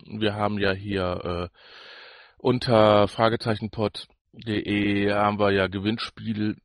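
A man's voice: lowish voice, level tone, a little slow, no echo. Man bantering casually as podcast co-host, dry sound.

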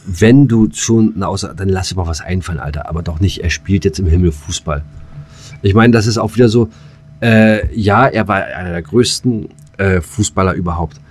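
A man's voice: sits low, normal speed, husky. A man co-hosting a podcast in casual, blunt, slangy banter that tips into ranting. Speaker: podcast co-host.

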